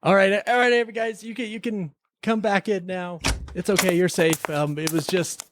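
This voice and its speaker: A man's voice: sits high, steady tone, moderate pace, background noise. A man hosting a podcast, casually reviewing a TV episode with joking, mock-serious remarks. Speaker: podcast host.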